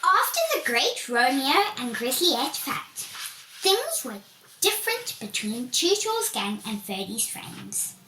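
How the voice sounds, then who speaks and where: A woman reading a children's storybook aloud in an expressive way, with the occasional stumble. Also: sits high, slow pace. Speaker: story reader. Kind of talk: scripted children's storybook narration. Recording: roomy, distant mic, faint noise.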